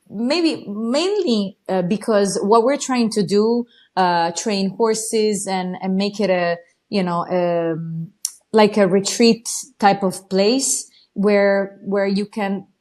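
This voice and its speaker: An eager woman with an Italian accent, talking as a podcast guest. She chats in a loose, excited way, full of fillers and restarts. She is a native Italian speaker. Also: mid-range voice. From Italy. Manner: enthusiastic, many fillers and restarts. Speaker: guest, horse trainer. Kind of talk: casual interview talk.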